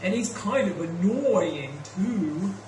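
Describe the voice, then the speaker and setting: A woman voicing a silly, dopey ghost in a puppet show, with a goofy, halting delivery. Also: on the low side, expressive, darkish tone. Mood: nervous pleading. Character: silly, dopey, talkative bigger brother ghosts. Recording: echoey, distant, faintly noisy room.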